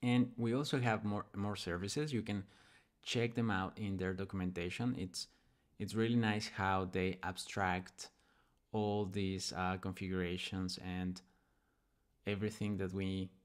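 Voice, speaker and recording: A man with a fairly low voice hosts a programming tutorial. He talks casually and informally, thinking aloud as he demonstrates each step. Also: fairly even intonation, unhurried. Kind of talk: casual screen-recording software tutorial.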